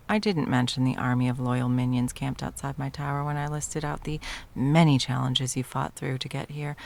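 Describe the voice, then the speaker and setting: Woman acting a haughty, low-voiced sorceress tyrant. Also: vocal fry. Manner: theatrical, mocking, condescending. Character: haughty, sadistic undying sorceress tyrant, toying with challengers. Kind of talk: scripted ASMR fantasy roleplay.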